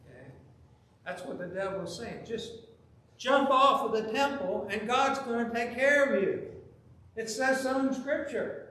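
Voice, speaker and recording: A middle-aged man preaching a sermon from the pulpit, telling a story with lively, emphatic delivery. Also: very high-pitched, measured pace, slight background noise. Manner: animated, gesturing, rising to loud emphasis. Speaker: pastor. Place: large church sanctuary, at the pulpit. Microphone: pulpit microphone, filmed from far camera. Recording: very echoey church with faint ambience.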